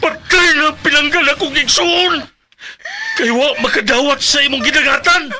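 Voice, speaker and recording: A man playing a bitter, grieving uncle in a melodramatic radio drama, scolding with sharp rhetorical questions. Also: extremely high pitch, steady tone, moderate pace, over music. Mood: bitter grief. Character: bitter, accusing uncle grieving his sister.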